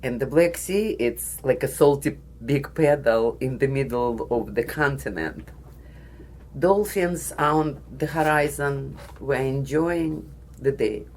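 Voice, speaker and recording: Slow, deliberate woman with a Russian accent. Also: a bass voice, darkish tone, slight background noise, poor audio. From Soviet Union. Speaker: guest speaker. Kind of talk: personal storytelling speech. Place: studio.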